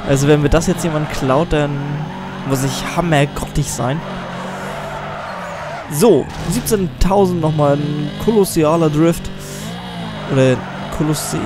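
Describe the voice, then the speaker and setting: Teen let's-play gamer, slightly high voice, loose rambling commentary, mild cold, game music underneath. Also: male voice. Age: teens. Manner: rambling, chatty, self-deprecating. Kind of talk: casual gameplay commentary. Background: game music and car sounds.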